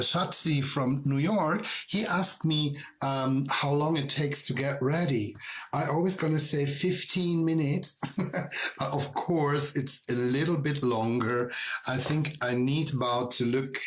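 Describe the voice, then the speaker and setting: A man's voice: mid-range voice, a bit bright. A middle-aged man with a German accent chats loosely in a casual online Q&A, his voice sitting in an ordinary mid range. He takes his time, drawing out words and circling back with ums and restarts. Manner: chatty, rambling, frequent ums and restarts. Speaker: drag performer and tour guide.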